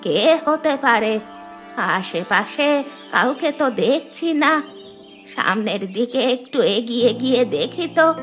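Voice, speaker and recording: A kindly old village granny, voiced by a woman for a cartoon. She speaks slowly in a high, concerned voice. Faint music runs behind her. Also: lively intonation, fairly bright tone. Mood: musing. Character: kindly old village grandmother gathering leaves. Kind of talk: cartoon voice acting.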